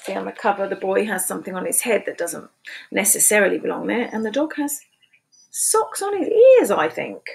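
A woman in her fifties reading picture books aloud to preschoolers in a slow, sing-song storytime voice, recorded on a webcam in a small room at home. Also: medium pitch, sing-song, gravelly, a little mellow, husky, low-quality recording. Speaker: children's book reader. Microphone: webcam at desk.

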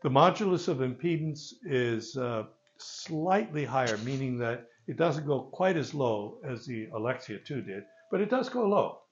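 An older man giving an informal product presentation in a gravelly voice, with halting, hesitant phrasing, recorded on a phone a few meters away. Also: mid-range voice, lively intonation, dull audio. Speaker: loudspeaker company presenter. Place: carpeted listening room with stone wall, indoors. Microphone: phone camera a few meters away.

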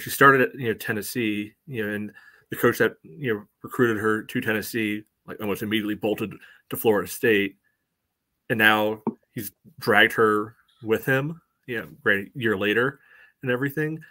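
Bright, forceful man with a lowish voice. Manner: halting, peppered with "you know". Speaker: sports podcast co-host. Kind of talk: casual sports commentary. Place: room at home, video call.

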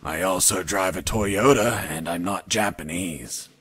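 An actor playing a cocky captured commando pilot in a video game, telling his story in a deadpan, wry way. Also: sits low, unhurried, slightly rough, no room sound, heavily acted. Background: background music.

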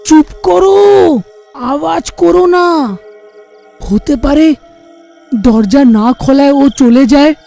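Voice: sits high, slow, gravelly, pauses often, warm tone, soft background music, full character voice. A performer voicing a frightened village husband in a horror cartoon, urgent and fretful. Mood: fearful. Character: frightened village husband urging hushed caution and escape.

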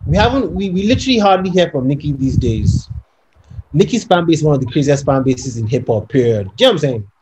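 A man's voice: on the high side, quick pace, noisy background. A young man co-hosting a casual podcast over a video call from home, arguing his point emphatically and often checking if he is understood. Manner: animated, emphatic, persuasive, often asks "you know what I'm saying". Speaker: podcast co-host. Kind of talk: casual debate among friends.

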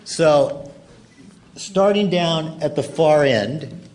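A man's voice: a little high, animated, drawn-out words, darkish tone. Man hosting a noisy live panel. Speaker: panel host, interviewer. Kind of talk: live on-stage interview. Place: large hall stage, before an audience. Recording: noisy live hall recording.

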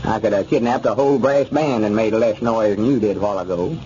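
An actor playing an exasperated, scolding old small-town schemer with a rural Southern accent in a radio comedy. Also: male voice, fairly low pitch, fast, warm tone, close to an everyday voice. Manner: urgent, scolding. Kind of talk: 1940s radio comedy acting. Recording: muffled, noisy old radio recording.

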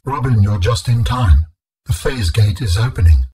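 An actor voicing an ancient, solemn alien in a video game, speaking in formal, measured, grave phrases with a husky tone. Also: male voice, lowish voice, animated, mellow, low-quality recording. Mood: calm, grave. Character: ancient alien architect being, male, solemn and calm.

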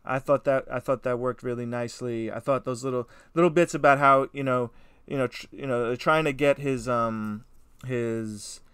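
A man's voice: medium pitch, fairly even intonation, normal speed, fairly bright tone, clean tone, dry recording, studio quality. A man co-hosting a casual film review podcast, talking emphatically with swearing and frequent checks for agreement. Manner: emphatic, profane, peppered with "you know" and "right?".

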